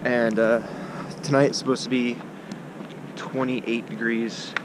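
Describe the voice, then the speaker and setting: A man in his twenties gives a casual trail vlog in an ordinary mid-pitched voice. His delivery is halting and laid-back. He is recorded outdoors on an action camera, with clear wind noise around the voice. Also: flat delivery. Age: 20s. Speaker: vlogger hiking a long trail. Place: outdoors on a wooded mountain trail. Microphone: action camera held at arm's length. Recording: windy, noisy outdoor action-camera audio.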